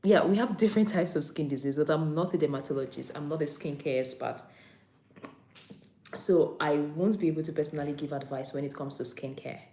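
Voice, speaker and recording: Nigerian-born woman practitioner, mellow low voice, casual livestream host reading viewer comments. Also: brisk. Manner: chatty, repeats herself, reads out comments. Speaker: anesthetics and recovery practitioner. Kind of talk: live stream chat answering viewer questions. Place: on a break during night duty.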